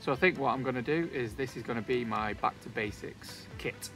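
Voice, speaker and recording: A man's voice: mid-range voice, fairly quick, over music. A man, casually reviewing outdoor gear while sitting outdoors in a wood, talking to a camera on a tripod. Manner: chatty, relaxed, light joking asides. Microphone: camera on a tripod, also handheld selfie while walking.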